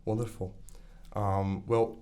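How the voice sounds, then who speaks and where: A man's voice: fairly low pitch, flat delivery, slow, warm tone, clean tone. A young man hosting a university podcast, introducing a guest and asking polite, slightly tentative questions. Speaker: podcast host. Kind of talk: podcast interview.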